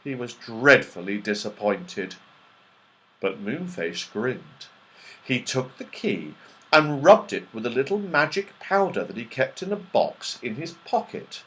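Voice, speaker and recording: A man reading a children's story aloud with expressive narration. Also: medium pitch, unhurried, low-quality recording. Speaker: audiobook reader.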